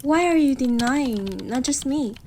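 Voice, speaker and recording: A woman plays a needy wolf girlfriend with a high voice and slow, drawn-out delivery. She is bossy yet affectionate, pouting and teasing in an intimate roleplay audio aimed right at the listener. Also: expressive, a little mellow, a little hiss or hum. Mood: coaxing, teasing. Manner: pouty, pleading, teasing. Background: computer keyboard typing.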